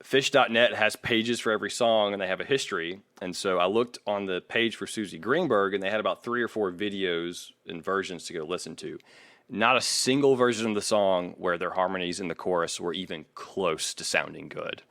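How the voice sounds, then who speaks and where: A man's voice: deep voice, fairly quick, fairly bright tone, no echo. A man hosting a podcast, discussing music casually and bluntly, laying out his opinions point by point. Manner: blunt, makes points as a numbered list. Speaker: podcast host.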